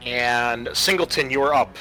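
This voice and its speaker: A man running a tabletop roleplaying game as game master, explaining rules and rulings in a halting, improvised way. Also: mid-range voice, bright, forceful tone, soft background music, rough recording.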